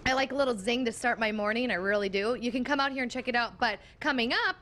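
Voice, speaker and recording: A woman's voice: fairly high pitch, fast, a bit bright. Bubbly young woman reporting live. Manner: bubbly, upbeat. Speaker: field reporter. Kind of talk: live morning news report. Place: coffee shop, indoors. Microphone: handheld mic.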